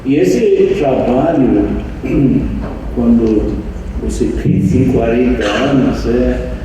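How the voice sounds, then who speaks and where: Older man, slow and halting, echoey room. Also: mid-range voice, mellow. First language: not Portuguese. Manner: slow, halting, reflective, with many restarts. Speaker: meditation teacher and center leader. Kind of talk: anniversary speech to an audience. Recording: echoey room, distant mic, faint noise.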